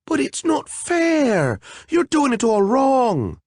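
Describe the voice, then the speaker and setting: A man voicing a vain, petulant tiny female fairy with a whiny, high, squealing voice. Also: wildly swooping pitch, slow, a little mellow, no echo, studio quality, full character voice. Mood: tantrum.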